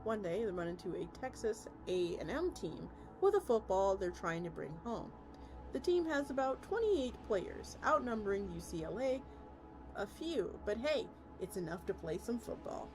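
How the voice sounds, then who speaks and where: A woman's voice: mid-range voice, big pitch swings, normal speed. Woman reading a story over music. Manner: stumbles over words and restarts lines. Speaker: VTuber streamer. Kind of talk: expressive story reading on a stream. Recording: music playing under the voice.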